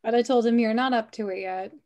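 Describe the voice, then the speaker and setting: A woman acts out a confident young woman in an animated film story. Her voice sits fairly high, and she speaks directly with a playful, teasing edge. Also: fast, natural voice. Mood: coy, confident. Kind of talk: table read of an animated film script.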